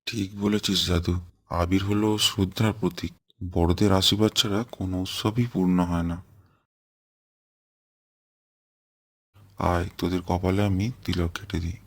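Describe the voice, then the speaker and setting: A man playing a kindly old grandfather who tells legends slowly and patiently in a cartoon. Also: sits low, steady tone, close to an everyday voice. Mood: affectionate. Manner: slow, explanatory storytelling.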